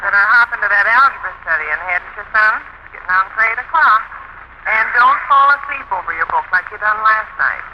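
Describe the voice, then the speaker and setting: Woman acting a nagging, animated folksy housewife. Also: mid-range voice, a little fast, lightly acted. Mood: nagging, brisk. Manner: plain-spoken, folksy. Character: brisk, nagging middle-aged housewife and mother. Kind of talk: old-time radio domestic comedy acting. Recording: noisy, dull vintage radio recording.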